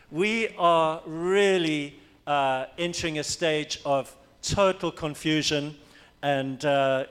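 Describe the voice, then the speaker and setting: A man's voice: on the high side, fairly bright tone, clean tone. A man from Africa, a pastor preaching a sermon, emphatic and repeating his key phrases for weight.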